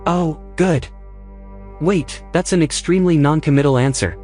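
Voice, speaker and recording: Man acting fussy, put-upon male AI, higher-pitched. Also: moderate pace, a little mellow, natural voice. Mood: reluctant. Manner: fussy, sardonic, exasperated. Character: fussy, reluctant male artificial intelligence, anxious and put-upon. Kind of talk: audio drama acting. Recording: music behind the voice.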